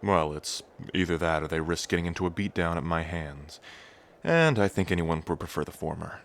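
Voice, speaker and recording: Give us a brash dragon heir, voiced by a man who teases and shields the listener at once. His voice sits low and bright with real force. The ASMR roleplay sounds recorded in a roomy, echoing space with faint ambience behind it. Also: animated, fairly quick. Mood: reassuring. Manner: cocky, teasing and protective. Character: brash young male dragon prince, future king.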